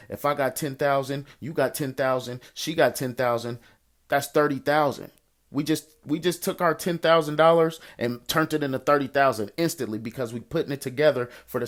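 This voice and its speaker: American man giving slangy, informal coaching. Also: mid-range voice. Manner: colloquial street slang, encouraging, repeats phrases for emphasis. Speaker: host of a financial coaching call, investor and entrepreneur. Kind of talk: informal coaching talk answering listener questions.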